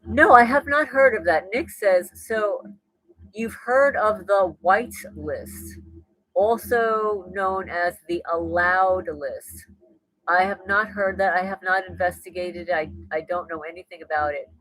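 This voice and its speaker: A woman's voice: medium pitch, a little slow, a bit bright, no echo, poor audio. A woman with a New Jersey accent hosting a casual livestream, reading and answering viewer comments as she goes. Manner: chatty, thinks aloud, frequent restarts and laughs. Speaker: livestream host.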